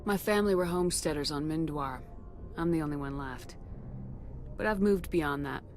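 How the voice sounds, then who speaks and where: A woman's voice: low voice, normal speed, soft background music, lightly acted. A woman playing a stern, composed military starship commander in a video game, speaking with firm, clipped authority. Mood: somber. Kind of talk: video game dialogue acting.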